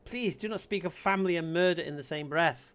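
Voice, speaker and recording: Casting: proud expectant wife for a story game, protective and easily wounded, solemn and formal, fairly high male register man acts her out. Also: a little fast, a bit bright, close to an everyday voice. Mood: solemn, hurt. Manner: earnest, formal, poetic speech.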